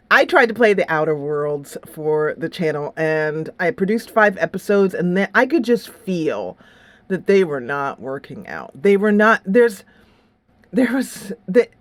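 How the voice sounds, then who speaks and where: A woman speaks as a chatty channel host reviewing games in an informal, playful way. Her voice sits at a medium pitch, recorded very dry with almost no room sound. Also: no room sound. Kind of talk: casual solo vlog commentary.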